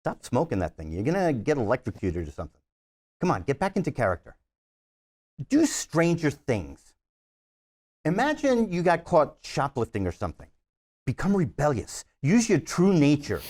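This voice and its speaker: Exasperated perfectionist movie director in his sixties played by a man, very animated delivery, comedy table read. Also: fairly high pitch, normal speed. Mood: bossy. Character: bubbly mid-sixties perfectionist film director, demanding and exasperated.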